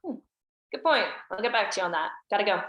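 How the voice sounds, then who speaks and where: An actress at a table read playing a guarded, bitter teenage daughter with curt replies. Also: female voice, medium pitch, expressive, fast talker, fairly bright tone, roomy sound, dull audio, natural voice. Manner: curt, cutting.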